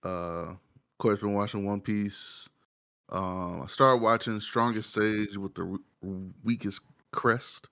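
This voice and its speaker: A man's voice: fairly low pitch, steady tone, takes it slowly, sharp tone, clear, resonant tone. A man chatting casually as a podcast panelist, rambling informally about what he watches with slang and swearing. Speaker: podcast panelist reviewing shows.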